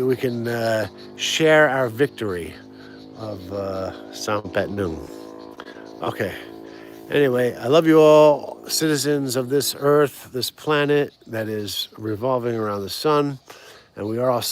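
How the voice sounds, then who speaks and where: A man hosting a casual outdoor live stream, rambling, repeating words and laughing often. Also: medium pitch.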